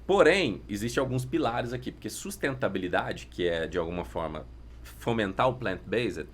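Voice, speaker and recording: A man's voice: lowish voice, expressive, normal speed, slight background noise. A Brazilian man explaining things informally as a guest in a conversational business interview, with slang and tag questions. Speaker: podcast guest, entrepreneur.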